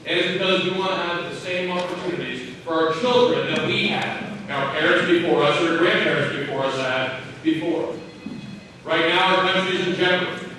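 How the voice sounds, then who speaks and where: American male candidate, earnest and plain-spoken, slightly high-pitched, stump speech heard from off in a reverberant hall. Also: sharp tone. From Maine, United States. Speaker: political candidate. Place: indoor hall at a county caucus. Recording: echoey hall, distant mic, faint noise.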